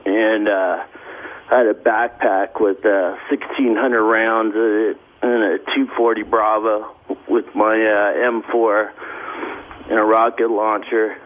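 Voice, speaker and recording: A man's voice: lowish voice, level tone, slow. A man calling into a radio show, rambling and bragging with lots of fillers. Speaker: caller. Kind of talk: phone call into radio show. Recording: tinny phone line.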